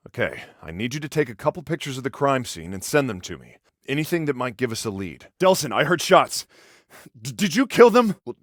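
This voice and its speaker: An actor playing an exasperated, protective older-brother police officer in a video game, sarcastic and by-the-book, talking with conversational hesitations. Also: male voice, mid-range voice, no room sound, clean studio sound, lightly acted. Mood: alarmed, exasperated. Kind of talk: video game voice acting, one side of phone conversations.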